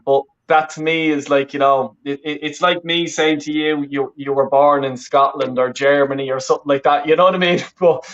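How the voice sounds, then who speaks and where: A man's voice: fairly high pitch, a bit bright. Dublin-accented man, dull lo-fi audio. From west of Ireland. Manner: rambling, self-correcting, swears casually. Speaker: interview guest, fan channel creator. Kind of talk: casual chat.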